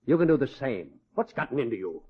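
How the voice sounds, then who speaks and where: A man acting a bitter, wealthy, domineering father in a radio drama, scornful and forceful, with a husky voice. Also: medium pitch, sing-song, quick pace. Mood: exasperated. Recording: muffled old-time radio recording.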